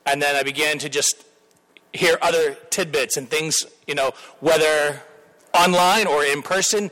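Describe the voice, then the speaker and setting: A man's voice: on the high side, a bit bright, poor audio. Earnest man introducing a speaker. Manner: earnest, rambling with restarts. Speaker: pastor. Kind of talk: introduction and prayer.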